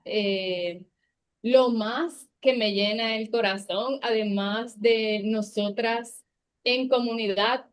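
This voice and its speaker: A woman moderating a panel, speaking in a soft, thoughtful way. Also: fairly high pitch.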